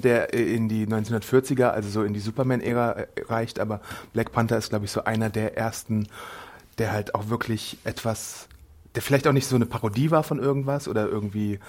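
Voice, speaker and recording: A man co-hosting a casual film podcast, a little hoarse from a cold, recounting eagerly. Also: on the low side. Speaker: podcast co-host.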